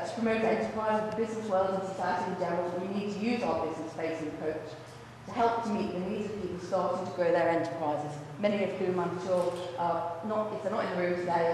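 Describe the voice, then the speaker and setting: A woman, a college vice principal giving a brisk, businesslike presentation to a room without a microphone. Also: on the low side, darkish tone. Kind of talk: presentation to a luncheon audience. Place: large echoey function room. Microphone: far room microphone. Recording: echoey hall from far off, faint noise.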